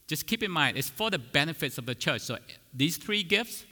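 A man's voice: on the high side, big pitch swings, moderate pace, sharp tone, in a large hall. A man whose first language is Vietnamese, preaching a teaching sermon earnestly, restarting phrases and using fillers as he explains.

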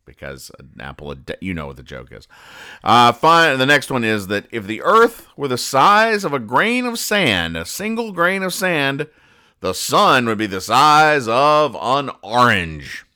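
A man hosting a podcast in a casual recap style, with a deadpan, dryly sarcastic delivery. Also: mid-range voice, a little slow, clear, resonant tone. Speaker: podcast host. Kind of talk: casual comic-strip recap monologue.

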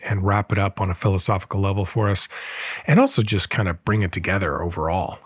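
Low, dry-voiced male podcast host. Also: no echo. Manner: thoughtful, meandering, with restarts and self-corrections. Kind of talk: casual podcast monologue and personal storytelling.

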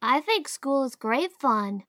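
A woman's voice: sits high, very animated, takes it slowly, a bit bright, no room sound, studio quality, pitched above the performer's own voice, full character voice. A woman voicing a chatty, eager six-year-old boy. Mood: chattering eager. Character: boastful lively small boy, about six.

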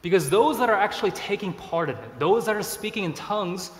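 A man in his thirties, originally from Korea, preaching a sermon in a relaxed, conversational way with self-deprecating asides. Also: high voice, brisk. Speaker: pastor. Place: church sanctuary. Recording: echoey church hall.

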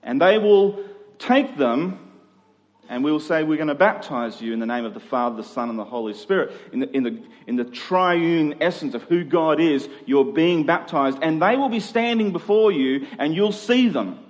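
A man preaching a sermon as a pastor, swinging between emphatic and hushed delivery with rhetorical questions. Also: a little high, expressive, normal speed, muffled recording. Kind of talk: sermon to a congregation. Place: large reverberant church hall. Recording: long hall reverb.

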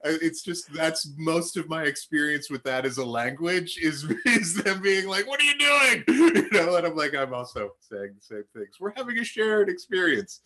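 A man's voice: a little high, expressive, normal speed, few pauses, pushed and bright. A man in his thirties from Canada, hosting a podcast, rambling, self-deprecating and laughing a lot. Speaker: podcast host. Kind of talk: casual podcast chat.

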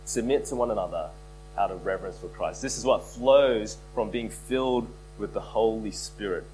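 A man preaching a sermon as pastor, hoarse from a cold, earnest and repeating key phrases for emphasis. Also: on the low side, normal speed, a little mellow, faint music behind, low-quality recording. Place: church.

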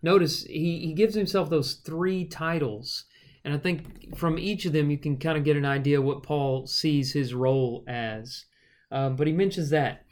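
A man teaching the Bible in a conversational, measured way, moving between explanation and reading verses aloud. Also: on the high side. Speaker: Bible study podcast host and teacher.

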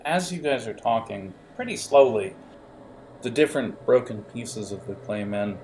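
A man narrating an improvised tabletop game as game master, in a halting, explanatory way with hesitations. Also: medium pitch, darkish tone, a little hiss or hum, low-quality recording.

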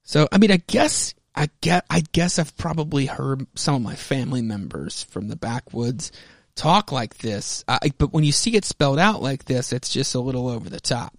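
A man's voice: medium pitch, very animated, moderate pace, dry recording, studio quality. An American man hosting a podcast, mixing theatrical comic-book narration with casual commentary. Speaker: podcast host.

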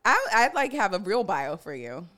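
A woman's voice: big pitch swings, moderate pace, pushed and bright. A woman, co-hosting a podcast in frank, teasing casual chat. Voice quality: deep voice. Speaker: podcast co-host.

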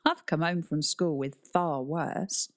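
A cool schoolboy, as a woman narrator plays him in a children's story. He talks casually and offhandedly, in a raised voice pitch, as if trouble hardly matters. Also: sing-song, a little slow. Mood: dismissive.

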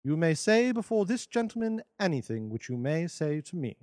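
A man reading a classic detective story, voicing a sharp-witted gentleman detective who talks crisply and with command. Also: fairly high pitch, big pitch swings, moderate pace, no echo, studio quality, voice pushed up. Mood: brisk, assured. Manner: crisp, commanding, dryly amused. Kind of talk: audiobook character voice.

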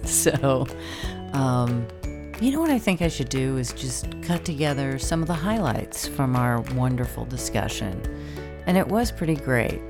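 A woman's voice: a bass voice, sing-song, measured pace, music in the background. A woman hosting a podcast, narrating and interviewing in a wry, self-deprecating storytelling manner. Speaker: podcast host.

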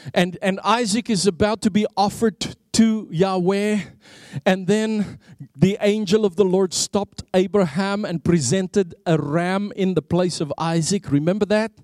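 Evangelist, male, high voice, unhurried emphatic sermon delivery, dry close sound. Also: a little mellow, dry recording. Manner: emphatic, repeats words, swings between rising and low dropped phrases; explains Hebrew names of God with rhetorical questions. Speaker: evangelist preacher.

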